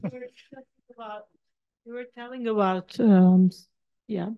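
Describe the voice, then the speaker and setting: A woman's voice: medium pitch, a little slow, no room sound, phone-quality sound. A woman architect in the audience asking a long, rambling question.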